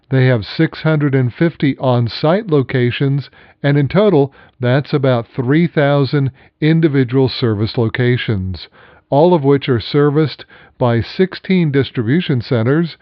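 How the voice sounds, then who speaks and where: A man hosting a financial program, reading scripted news in a measured, formal manner. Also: medium pitch, no echo, lo-fi sound.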